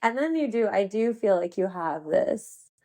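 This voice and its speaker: A woman's voice: mid-range voice, animated, normal speed, warm tone. A woman hosting a casual interview in an informal, encouraging way. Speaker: podcast host.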